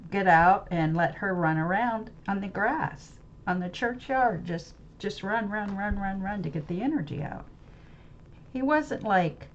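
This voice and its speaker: A woman's voice: medium pitch, slight background noise. American woman host, rambling live-stream monologue, hunts for words, faint background ambience. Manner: rambling, searches for words, many restarts and fillers. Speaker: webcam channel host. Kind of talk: unscripted musing monologue about a book. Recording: slightly dull lo-fi audio with faint ambience.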